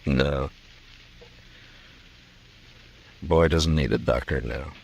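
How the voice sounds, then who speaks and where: An actor playing a stern, level-headed American frontier marshal in an old radio drama, terse and commanding. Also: male voice, very deep voice, measured pace, vocal fry, soft background music, heavily acted. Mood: grave, terse.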